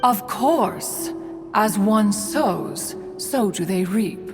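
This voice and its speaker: An ancient, reclusive spirit inventor speaks in slow, archaic, formal phrases. Her voice rings with a long reverb, and game music plays behind. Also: mid-range voice, lively intonation. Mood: stately. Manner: archaic formal speech, refers to self as one.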